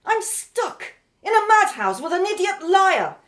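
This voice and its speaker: A woman's voice: extremely high pitch, big pitch swings, unhurried, exaggerated voice. A woman playing a bitter, scornful young woman in a radio drama, accusing and emphatic in her delivery. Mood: furious accusing.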